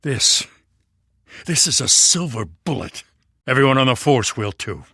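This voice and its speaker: A man's voice: medium pitch, lively intonation, unhurried, pushed and bright, husky, no echo, clean studio sound, heavily acted. A man with an American accent voicing a weary, principled police lieutenant in a video game, plain-spoken and earnest. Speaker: voice actor. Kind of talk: video game dramatic dialogue.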